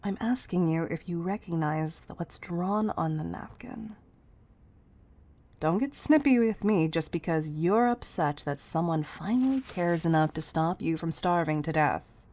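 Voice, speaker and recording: Woman playing a tough-loving female CEO, low pitch, slow deliberate delivery, breathy, near-whispered, intimate roleplay audio. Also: lively intonation. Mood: firm, chiding. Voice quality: breathy, soft. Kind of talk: scripted roleplay audio addressed to the listener.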